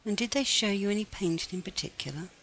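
A woman reading a scripted guided meditation in a gentle, measured, instructive manner. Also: medium pitch, breathy edge, low-quality recording.

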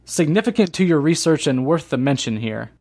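A man's voice: a little high, expressive, fairly quick, darkish tone. A man hosting a podcast, asking casual, friendly questions. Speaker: podcast host.

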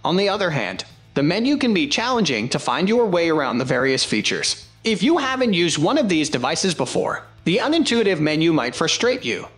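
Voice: medium pitch, big pitch swings, a little fast, soft background music. A narrator reading a scripted product review in a presentational style.

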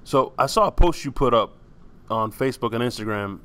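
A man hosting a podcast, asking his guest relaxed, conversational questions. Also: mid-range voice, brisk, smooth. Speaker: podcast host. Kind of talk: interview questions.

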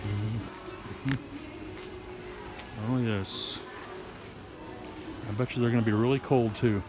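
A man's voice: fairly low pitch, steady tone, extremely slow, over music. Middle-aged man grumbling on a walk. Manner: chatty, grumbling asides while walking. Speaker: vlogger. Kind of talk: casual walking vlog. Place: outdoors on streets, then inside a shopping mall. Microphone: handheld phone camera. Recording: noisy handheld phone audio.

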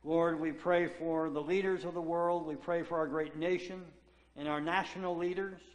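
A male pastor leading a solemn, measured congregational prayer in a large church sanctuary. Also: fairly high pitch, little pitch movement. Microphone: far camera at back of sanctuary. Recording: echoey sanctuary from far off.

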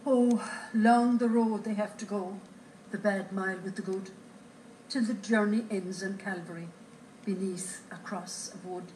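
A woman recites a poem at a slow, steady pace with a mid-range voice. The theatre gives her words a roomy echo. A faint hiss sits beneath the recording. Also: medium pitch. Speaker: poetry reader. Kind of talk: reading a poem aloud. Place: theatre stage. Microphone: far camera in the audience.

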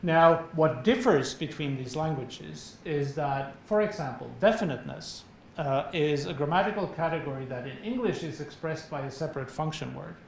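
Middle-aged man, Swedish accent, somewhat high voice, measured lecture delivery, booming hall echo, faint rumble. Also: vocal fry. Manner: measured, explanatory, frequent filler pauses. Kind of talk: academic lecture. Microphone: clip-on lapel mic.

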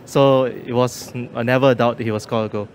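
A man's voice: mid-range voice, steady tone, brisk. A young man with a Singaporean accent, a football fan giving casual post-match opinions into a handheld phone outdoors. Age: young adult. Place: outdoor stadium entrance. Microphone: handheld phone held toward him. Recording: noisy outdoor phone recording.

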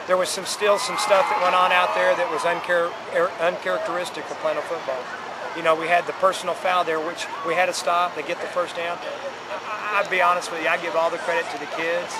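A middle-aged American man, a football coach, talking earnestly in a postgame interview and often restarting his phrases. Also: fairly high pitch. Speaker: high school football coach. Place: outdoors at night by a stadium building. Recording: crowd chatter and loud outdoor field noise.

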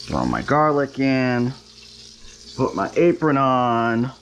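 A man's voice: medium pitch, big pitch swings, slow pace, a bit bright, a little hiss or hum. A man hosting a home cooking show, chatting casually and making wry, self-deprecating asides as he cooks. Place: home kitchen, stovetop.

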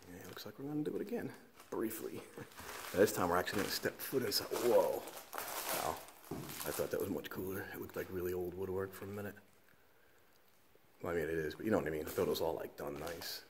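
A man's voice: low-pitched, expressive, quick pace, long pauses, noisy background. A man exploring derelict buildings, often whispering and exclaiming in awe as he films. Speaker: urban explorer filming. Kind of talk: hushed walkthrough commentary. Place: derelict school buildings indoors.

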